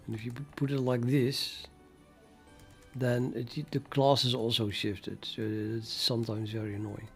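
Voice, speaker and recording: An older man from the Netherlands with a Dutch accent, talking casually and haltingly to his livestream chat while he codes. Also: medium pitch, flat delivery, gravelly, soft background music. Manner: musing, hesitant, halting with many fillers, chuckles to himself. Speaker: programming livestreamer.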